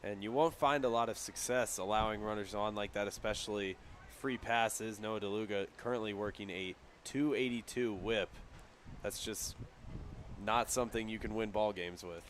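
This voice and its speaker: American guy doing baseball color commentary, lowish voice, faint outdoor noise behind. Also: moderate pace. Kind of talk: live sports analysis commentary.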